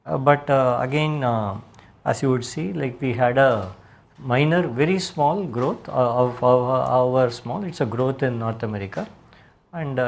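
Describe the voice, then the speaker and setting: A man with an Indian accent speaking as a company executive, explaining results in a halting way. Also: mid-range voice, gravelly.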